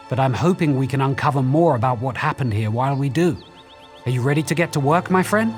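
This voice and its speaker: A man's voice: mid-range voice, lightly acted. A man playing an eager, scholarly elf investigator in a fantasy video game, earnest and theatrical in his phrasing.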